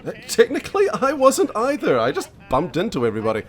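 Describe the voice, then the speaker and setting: A man's voice: high voice, wildly swooping pitch, fast, soft background music. A man speaking casually and a little pedantically, acting in a scripted comedy web drama.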